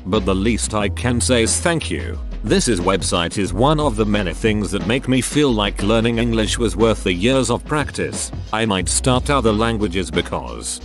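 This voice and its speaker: Man, narrator, low voice, lively intonation, measured forum-post reading, background music.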